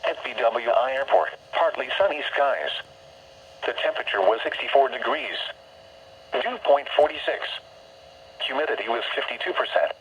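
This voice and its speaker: Automated male weather announcer, American accent, mid pitch, formal and impersonal, synthetic delivery over dull-sounding radio. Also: big pitch swings, moderate pace. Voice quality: synthetic text-to-speech voice. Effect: weather radio broadcast. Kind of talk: scripted weather bulletin readout. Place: broadcast received on a radio. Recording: muffled, noisy weather radio reception.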